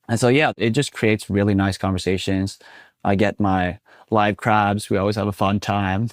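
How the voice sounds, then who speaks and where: Chatty Swedish man with a low voice. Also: normal speed, no room sound, studio quality. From Sweden. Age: 20s. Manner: relaxed and chatty, many fillers like "you know". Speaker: guest. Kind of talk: casual interview.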